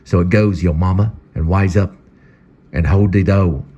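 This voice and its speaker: A man's voice: deep voice, normal speed, mellow. A man voicing a swaggering tough guy who talks in a brash, bossy way. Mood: bullying. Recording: slightly dull lo-fi audio.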